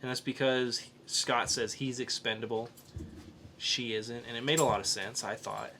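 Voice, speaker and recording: A man with a bright, forward voice talks casually about films as a podcast host. He is opinionated and likes to explain his reasoning, at an average pitch for a male speaker. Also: fairly even intonation, normal speed, a little hiss or hum. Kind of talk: casual film review chat.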